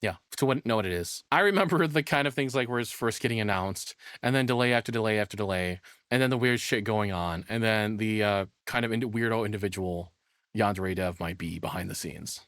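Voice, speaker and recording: A man's voice: lowish voice, brisk, a bit bright, dry recording, studio quality. A man giving casual, enthusiastic, rambling live reaction commentary as a streamer.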